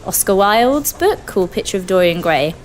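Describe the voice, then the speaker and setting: Woman, interview guest, mid-pitched, effusive and informal, light background noise. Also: expressive, normal speed. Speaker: interview guest, children's festival events coordinator. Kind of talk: casual chatty interview.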